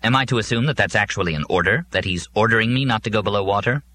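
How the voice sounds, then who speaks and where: A man's voice: lowish voice, racing, bright, forceful tone. A man playing a stubborn, anxious working man in a science fiction audiobook, questioning and defiant. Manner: questioning, argumentative.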